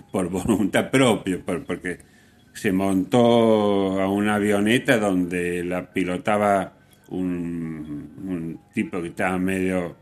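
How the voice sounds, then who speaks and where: Argentine man, radio host, low-pitched, very slow halting delivery, casual music talk. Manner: halting delivery, repeats phrases for emphasis.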